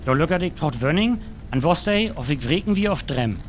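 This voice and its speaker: A man's voice: fairly high pitch, animated, a little fast, soft background music, close to an everyday voice. A man playing a flustered, naive rural eyewitness who rambles through a radio play interview. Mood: excited recounting.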